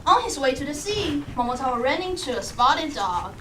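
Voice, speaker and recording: Casting: female live storyteller, high and animated, picked up from a distance with clear noise. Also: normal speed. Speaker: puppet show storyteller. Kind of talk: scripted live story narration. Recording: echoey room, distant mic, noisy.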